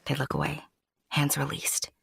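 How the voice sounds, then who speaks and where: Woman as a low-voiced fairy tale narrator. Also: flat delivery, normal speed, creaky, fairly bright tone, slight background noise, heavily acted. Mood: hushed, tender. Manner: confiding, addresses the listener. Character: confiding fairy tale storyteller. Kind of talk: storytelling narration in audio drama.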